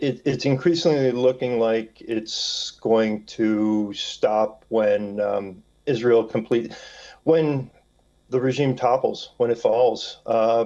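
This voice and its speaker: A man's voice: fairly low pitch, mellow, muffled recording. A man speaking as an expert guest in a news interview, hesitant but assertive. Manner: hesitant, filler-heavy, assertive.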